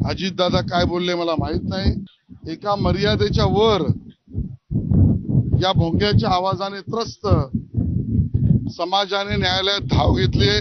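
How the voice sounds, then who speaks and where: A man making a measured press statement as a politician. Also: high voice, no room sound. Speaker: party politician. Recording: dull sound with faint noise.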